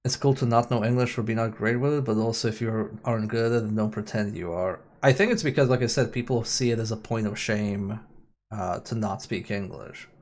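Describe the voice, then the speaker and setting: A man's voice: fairly low pitch, brisk, darkish tone. A man streaming a game with casual, opinionated and rambling chat. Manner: opinionated, rambling, mimics others mockingly, swears. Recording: game music behind the voice. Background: music from a racing game.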